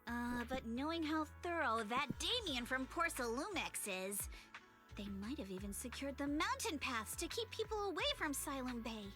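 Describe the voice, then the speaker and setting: A woman's voice: high voice, sing-song, moderate pace, music in the background. A woman playing a cheeky, sardonic young local in a video game. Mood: teasing, wry.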